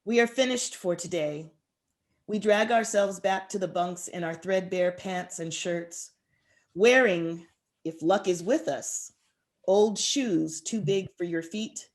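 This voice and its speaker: A woman author presenting and reading her poetry in a measured, expressive way. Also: fairly low pitch. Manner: measured, deliberate, expressive delivery.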